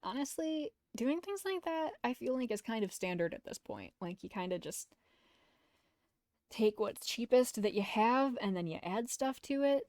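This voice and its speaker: Woman streamer reading a visual novel out loud, chill casual asides, mellow tone, super dry close mic. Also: mid-range voice, no echo.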